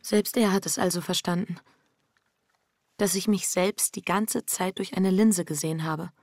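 Husky, introspective wife, creaky-voiced. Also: female voice, lowish voice, fairly even intonation, unhurried, a little mellow, no room sound, clean studio sound. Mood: reflective, hushed. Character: reflective, troubled married woman facing her fear and guilt. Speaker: lead actress and first-person narrator. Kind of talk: intimate radio drama acting.

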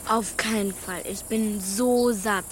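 A woman's voice: mid-range voice, fairly even intonation, slow, noisy background, natural voice. A woman playing a frank, stubborn young schoolgirl in a naturalistic children's radio drama, speaking plainly. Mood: matter-of-fact.

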